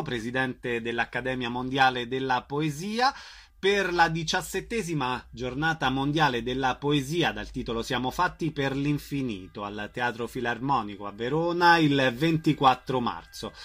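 Bright-voiced man hosting an interview. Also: medium pitch. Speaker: radio host interviewer. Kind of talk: conversational radio interview.

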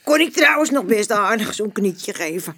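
An actress playing a feisty, nosy older auntie in a radio drama, chummy and cheeky in manner. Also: female voice, mid-range voice, lively intonation, normal speed, pushed and bright, breathy edge, full character voice. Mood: curious, chatty.

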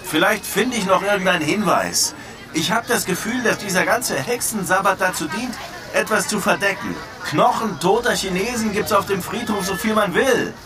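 An actor playing a determined police detective in a radio drama, talking assertively and matter-of-factly to a colleague. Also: male voice, sits high, normal speed, lightly acted. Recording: distant-sounding voice, music behind.

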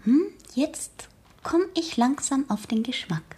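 A woman's voice: sits high, animated, slow. An actress in a German radio play voicing an exuberant, cheeky, naive sixteen-year-old girl, eager and unguarded. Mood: wistful, curious. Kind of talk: German radio drama acting.

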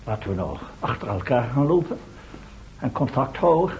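A man's voice: mid-range voice, big pitch swings, normal speed. An actor in a radio drama playing a bossy, scoffing man who leads adventurers, speaking in a pompous, lecturing way. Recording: noisy old radio play recording.

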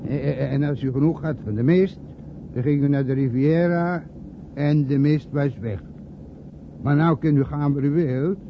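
An actor playing a resentful chauffeur in a radio play, outwardly servile, dry and sarcastic. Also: male voice, fairly high pitch, moderate pace, close to an everyday voice. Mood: bitter. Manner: dry, grumbling, mock-polite. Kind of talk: radio play acting in Dutch. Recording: noisy, muffled old radio recording.

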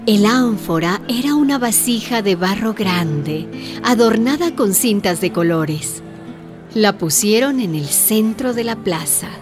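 A woman narrates a scripted radio story. Her voice has an average pitch for a woman, and music plays clearly behind it. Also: lively intonation, unhurried.

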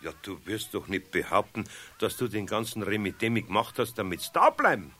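An actor playing a good-natured, grumbling older craftsman in a children's radio play, with a husky voice and a Bavarian accent. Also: lowish voice, sing-song, a little fast, pushed and bright, full character voice. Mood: exasperated.

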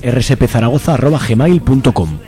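A man's voice: mid-range voice, animated, fairly quick, dark tone, faint music behind. A man from Spain with a Spanish accent presenting a radio show in a casual, conversational way.

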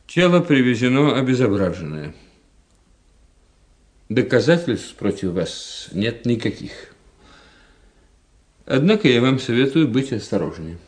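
An actor playing a discreet, worldly doctor in a radio play, speaking in a matter-of-fact, confidential way. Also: male voice, medium pitch, very animated, unhurried, pauses often. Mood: confidential, cautioning. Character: discreet, worldly army doctor warning his friend.

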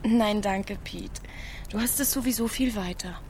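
A woman's voice: medium pitch, measured pace, background noise, lightly acted. Wary, blunt teenage village girl, played by a woman. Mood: reluctant, gentle. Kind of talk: naturalistic radio drama dialogue.

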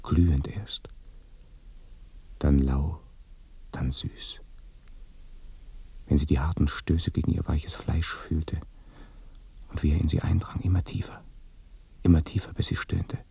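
An actor in a radio play voicing a confiding villager, with a husky, gravelly, breathy voice. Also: male voice, rumbling low, steady tone, takes it slowly, pauses often, dark tone, dry recording, in a whisper, exaggerated voice. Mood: hushed, intimate. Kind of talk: radio drama acting.

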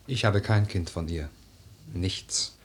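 Low-voiced grieving young nobleman. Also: slow pace, a little hiss or hum. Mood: mournful. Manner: earnest, solemn, formal. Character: grieving young nobleman of twenty-three, stubborn and earnest. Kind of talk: literary German radio drama acting.

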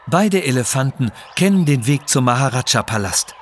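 A man's voice: medium pitch, lively intonation, moderate pace, slight background noise. A man narrating a scripted children's adventure story.

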